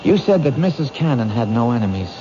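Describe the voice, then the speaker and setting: Earnest, protective policeman played by a male actor, mid-low voice, persistent, vintage radio drama with heavy hiss. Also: very animated, fast, lightly acted. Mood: pressing. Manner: plain-spoken, persistent. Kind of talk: 1970s radio drama acting. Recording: muffled, hissy old radio recording.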